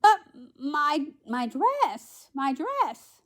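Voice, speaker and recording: Casting: woman playing storybook Cinderella type, young, sweet, plaintive, raised pitch. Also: sing-song, extremely slow, a bit bright, exaggerated voice. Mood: dismayed. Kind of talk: read-aloud storybook character voice.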